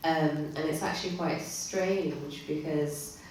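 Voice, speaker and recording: Low, slow woman in an echoey hall. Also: steady tone, darkish tone. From England. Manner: measured, thoughtful, frequent fillers. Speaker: panelist, care leaver. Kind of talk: personal testimony on a panel. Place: conference hall. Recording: echoey hall, far from mic, faint noise.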